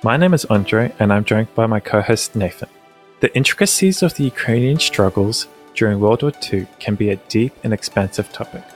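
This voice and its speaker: Low-voiced male podcast host. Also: lively intonation, normal speed, faint music behind. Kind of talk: scripted introduction, then casual interview.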